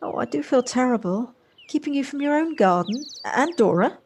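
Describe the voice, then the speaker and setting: A woman's voice: sits high, lively intonation, moderate pace, darkish tone. A woman playing a polite, effusive, wistful character in an amateur audio drama. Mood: apologetic, courteous. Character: Josie, wistful, polite, effusive woman rediscovering childlike freedom. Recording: faint noise, home-recorded on personal device.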